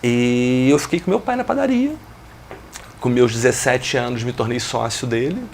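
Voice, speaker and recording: A man in his forties, a guest retelling how he built his business, speaking in an even, reflective way. His voice has a gravelly, fry-tinged edge at a middle pitch. A faint noise sits under the recording. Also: moderate pace. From Brazil. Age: 40s. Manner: reflective, steady storytelling with business jargon. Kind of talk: interview, telling his life story.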